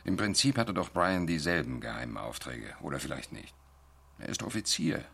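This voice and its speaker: An actor playing a cool, sceptical, pragmatic official with a dry, knowing manner in a radio play. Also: male voice, a bass voice, very animated, normal speed, vocal fry, a bit bright, husky, full character voice.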